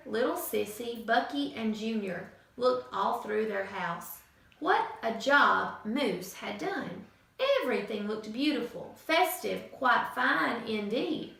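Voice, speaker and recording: A woman teacher reading a picture book aloud to children in an expressive, sing-song storytelling manner. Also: on the high side, creaky. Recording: echoey room from far off.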